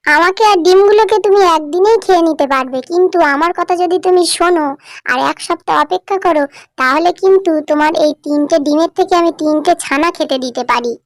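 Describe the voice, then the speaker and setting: A woman's voice: very high-pitched, fairly quick, dry recording, studio quality. A woman voicing a clever little mother sparrow in a cartoon, coaxing and persuasive. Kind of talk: cartoon voice acting.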